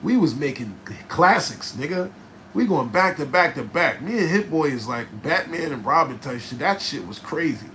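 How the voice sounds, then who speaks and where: A man from New Jersey, a rapper, telling a studio story in casual, profane style with a raspy voice, often asking "right?". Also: a little high, moderate pace. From New Jersey, USA. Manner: animated, profane, keeps checking in with "right?". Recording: noticeable background noise.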